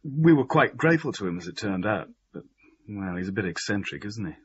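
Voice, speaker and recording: A man performs a wry young British man telling his story in a radio drama. The voice is lowish and very animated in pitch, slightly muffled with faint noise behind it. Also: fairly quick, lightly acted. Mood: wry recounting. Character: young British man recounting events wryly.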